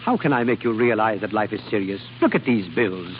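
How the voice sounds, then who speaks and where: An actor playing an exacting, unsentimental guardian with a clipped, lecturing manner in an old radio drama. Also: male voice, on the low side, expressive, brisk, natural voice. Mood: stern, scolding. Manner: clipped, stern, dryly lecturing. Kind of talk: 1930s-40s radio drama acting. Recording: tinny phone-like, hissy old radio broadcast.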